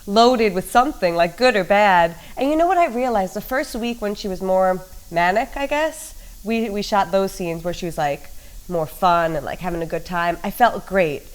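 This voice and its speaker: Woman, actress, interview, lively filler-heavy talk, mid pitch. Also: normal speed.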